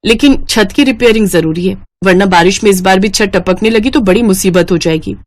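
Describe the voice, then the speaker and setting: A woman acts out a dutiful middle-class housewife from an audio moral story. She is patient and caring, speaking politely and reassuringly in a mid-pitched voice. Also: a little fast, natural voice. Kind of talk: scripted Hindi moral-story acting.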